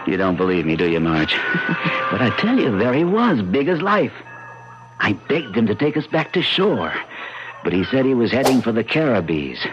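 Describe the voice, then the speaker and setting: A man's voice: mid-range voice, background noise, lightly acted. An actor playing a protective, wry father in an old-time radio drama, with emphatic delivery. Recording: muffled old radio broadcast, music behind.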